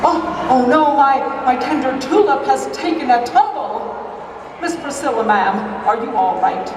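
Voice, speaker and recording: A woman's voice: sits high, measured pace. A woman playing a bumbling, lovesick male Mountie sergeant, declaiming grandly in live melodrama theater. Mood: lovestruck. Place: live amateur stage performance at fair. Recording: echoey hall from far off, noisy.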